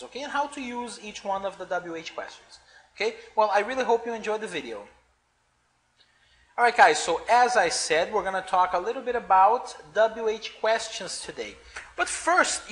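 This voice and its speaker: A man teaching beginners English, talking slowly and deliberately. His pitch swings up and down a lot as he stresses each point. He keeps checking in with the class through a frequent "okay?". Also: bright, forceful tone.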